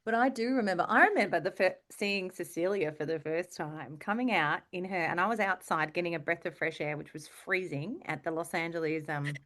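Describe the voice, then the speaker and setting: An Australian woman co-hosting a podcast, telling casual anecdotes in an animated, teasing way. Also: lowish voice, a little fast, few pauses. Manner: animated, teasing storytelling with acted-out quotes. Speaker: podcast co-host, voice actor.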